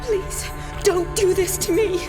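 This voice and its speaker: Brief: pleading young healer, very high voice, slow words. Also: female voice, fairly even intonation. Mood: desperate. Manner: pleading, frantic. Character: young female medic desperate to save a life. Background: tense music score.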